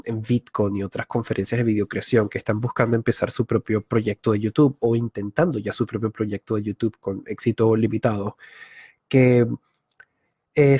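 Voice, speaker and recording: A man from Venezuela, a YouTuber giving a live online masterclass, thinking aloud with filler pauses and frequent self-corrections. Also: mid-range voice, darkish tone, no room sound, studio quality. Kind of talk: live-streamed talk.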